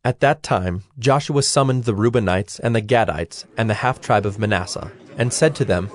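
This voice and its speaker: A man giving a measured, formal narration of scripture as the narrator of a dramatized audio production. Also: on the low side. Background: instrumental music with plucked strings.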